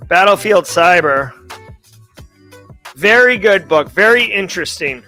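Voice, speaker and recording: A man's voice: high voice, animated, measured pace, bright, forceful tone, soft background music. A man in his forties hosting a casual livestream, reading chat questions in a rambling, upbeat way and often stopping to correct himself. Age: 40s.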